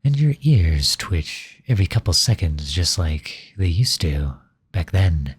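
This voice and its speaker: A man's voice: deep voice, very animated, measured pace, husky, exaggerated voice. A man acting in an ASMR roleplay as a grumpy, exasperated male creator with a sarcastic, flustered, grudging manner.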